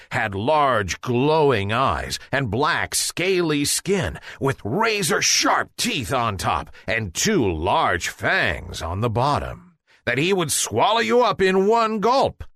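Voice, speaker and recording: A man's voice: medium pitch, fairly bright tone, no echo, clean studio sound. A man narrating a children's bedtime story in a theatrical way, drawing out words for emphasis.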